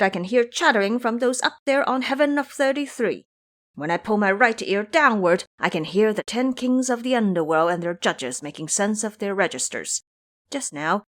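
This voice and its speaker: A woman's voice: mid-range voice, close to an everyday voice. A woman voicing a cocky, hot-tempered monkey king in an audio drama, imperious, taunting and scolding. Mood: boastful.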